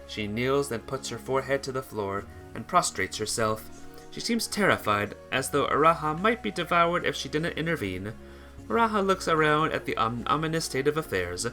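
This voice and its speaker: A man's voice: mid-range voice, big pitch swings, normal speed, pushed and bright, soft background music. A man reading a visual novel aloud as amateur narration, sometimes stumbling and rereading phrases.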